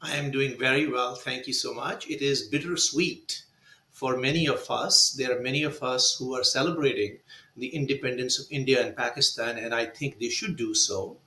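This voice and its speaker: A man speaking as a guest on a video call, measured and courteous. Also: medium pitch, sharp tone, reverberant, low-quality recording. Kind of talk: conversational interview answers.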